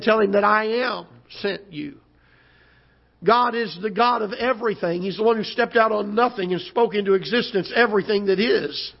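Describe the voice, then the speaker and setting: A pastor with a hoarse, recovering voice preaching a sermon in a church auditorium, halting and repeating words, then rising as he preaches. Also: high voice. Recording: dull lo-fi church broadcast.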